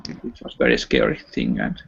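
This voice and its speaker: A man's voice: extremely low pitch, little pitch movement, normal speed, creaky, breathy edge. A man with a Finnish accent in a casual online voice chat, speaking hesitantly with many fillers and restarts. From Finland. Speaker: chat participant. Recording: dull lo-fi online voice chat audio.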